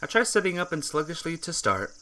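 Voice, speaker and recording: A playthrough host reading a visual novel aloud as expressive, dramatic scripted narration. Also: medium pitch, fairly quick, a little hiss or hum. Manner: dramatic reading, acts out dialogue.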